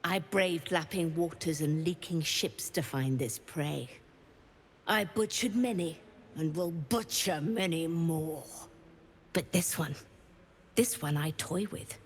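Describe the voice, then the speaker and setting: A woman voicing a savage predatory hunter creature in a video game, declaiming with slow, theatrical menace. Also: low voice, breathy edge, heavily acted. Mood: savage, gloating. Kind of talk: dramatic video game acting.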